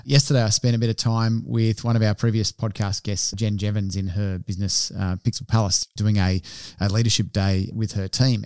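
A man's voice: on the low side, a little mellow, no echo, studio quality. A man co-hosting a podcast who talks through long, reflective points and then puts questions to his guest. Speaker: podcast co-host. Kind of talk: conversational podcast interview.

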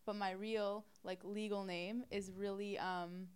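A woman's voice: mid-range voice, level tone, a little slow, clean tone. A woman, chatting as an interview guest, hesitant and full of fillers. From Romania. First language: Romanian. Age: 20s. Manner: hesitant, many "like" and "um" fillers, laughs often. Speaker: guest, model. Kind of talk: casual interview chat.

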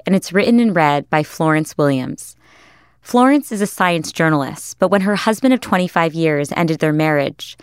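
Low, smooth-voiced woman hosting a podcast. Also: no echo, studio quality. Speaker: podcast host. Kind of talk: scripted podcast introduction.

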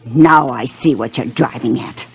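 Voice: mid-range voice, unhurried, husky, highs cut off. A performer playing a brassy, defensive wife in a 1950s radio mystery, talking in slangy, indignant snaps. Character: brassy, defensive wife of a music school owner. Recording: old 1950s radio recording, faint music.

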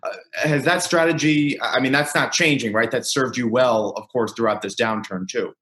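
A man's voice: mid-range voice, moderate pace, a bit bright. A man moderating a conference call, relaying questions in a conversational way, recorded with a roomy echo.

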